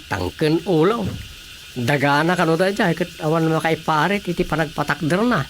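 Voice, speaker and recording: A man acting a dry, argumentative village man in a radio comedy drama, with an Ilocano Filipino accent and a gravelly voice. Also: a little high, moderate pace, a bit bright, a little hiss or hum, natural voice. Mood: grumbling.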